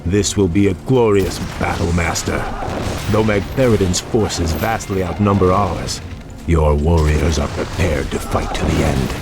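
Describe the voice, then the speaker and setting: A noble elf lieutenant with a low, slightly gravelly voice. He speaks formally and earnestly, a loyal warrior in a fantasy game cutscene with clear music behind. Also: unhurried. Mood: eager, loyal. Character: noble, eager elven prince, loyal lieutenant. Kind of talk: dramatic game cutscene acting.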